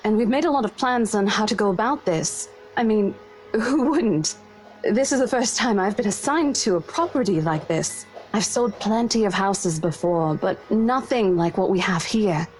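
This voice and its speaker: A woman's voice: mid-range voice, lively intonation, moderate pace, darkish tone, close to an everyday voice, rough recording. A young woman voicing a caring, level-headed young real estate agent in a game, speaking in a coaxing, reassuring way. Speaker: character in a visual novel. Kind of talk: game voice acting. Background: faint game music.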